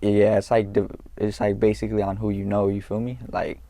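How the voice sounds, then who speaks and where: A young man from California, a guest in an interview, talking in a laid-back, halting way with street slang and lots of fillers. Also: lowish voice, completely flat delivery, gravelly, dark tone, no echo. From Norwalk, California. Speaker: guest, rapper. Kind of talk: casual interview talk.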